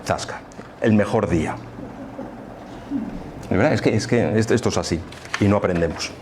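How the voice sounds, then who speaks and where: A man with an accent from Spain gives an informal lecture, speaking conversationally and haltingly, often repeating words and adding rhetorical tags. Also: on the low side. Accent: Spanish, from Spain. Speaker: lecturer at a spiritist association. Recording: live talk with faint background room noise.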